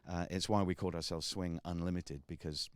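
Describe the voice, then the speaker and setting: A man's voice: deep voice, steady tone, a little fast, clean tone, dry recording. A sixty-year-old man, a big band director and trumpeter, chatting casually in an interview with many ums and restarts. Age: 60s. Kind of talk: casual radio interview chat.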